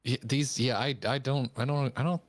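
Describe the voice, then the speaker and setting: A man's voice: mid-range voice, steady tone, normal speed, dry recording. A man co-hosting a gaming stream, giving casual, conversational reaction commentary with enthusiasm for small details.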